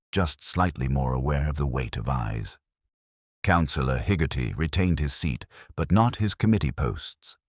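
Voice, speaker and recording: A man narrating a scripted mystery story in a measured, literary storytelling manner. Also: a bass voice, no echo, clean studio sound.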